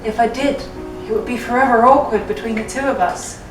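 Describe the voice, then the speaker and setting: A woman's voice: a little high, a little fast. A tipsy woman reading a story aloud in a theatrical, playfully hammy, giggly way. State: drunk. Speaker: reader of fan fiction for a comedy web show. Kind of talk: jokey dramatic story reading. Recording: echoey room, distant mic, music underneath.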